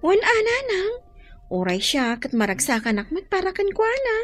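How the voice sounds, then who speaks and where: A woman's voice: very high-pitched, huge pitch swings, moderate pace, dry recording, soft background music, exaggerated voice. A woman playing a cheerful, eager, supportive younger daughter in a radio drama. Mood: happy, supportive.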